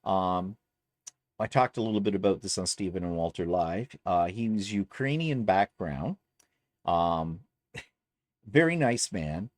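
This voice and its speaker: A man's voice: deep voice, animated, a little slow, dry recording, clean studio sound. A man from Canada chatting casually in a home vlog, rambling with self-deprecating asides from his sewing room. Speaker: quilting vlogger.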